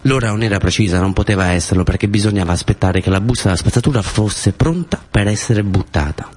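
A man's voice: lowish voice, fast, darkish tone. A man with a southern Italian accent telling a first-person theatre story in short phrases with incantatory repetition. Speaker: actor-storyteller.

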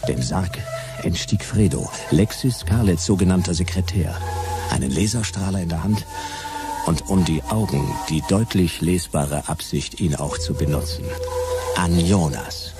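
A man playing a hard-boiled detective who narrates his case in laconic, deadpan, clipped phrases for a radio play. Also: low voice, a little slow, music in the background. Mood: deadpan, dry. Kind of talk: noir first-person radio play narration.

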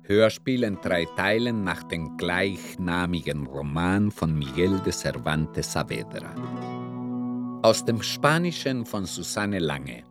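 A man's voice: sits low, animated, slow. A man reading formally from a script as announcer of a radio drama, over guitar music. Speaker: announcer and reader. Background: plucked guitar music.

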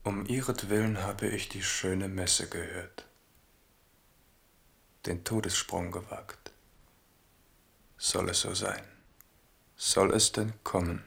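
A man's voice: low voice, fairly even intonation, slow, creaky, long pauses, fairly bright tone, full character voice. An actor playing a brooding, bitterly amused French king in a German radio drama. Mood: brooding, resigned.

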